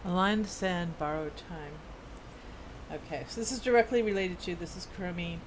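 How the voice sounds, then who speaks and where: A woman game streamer casually commenting on her play and reading quest text aloud, musing and halting as if to herself. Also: on the low side. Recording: clear game ambience and noise. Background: video game ambience.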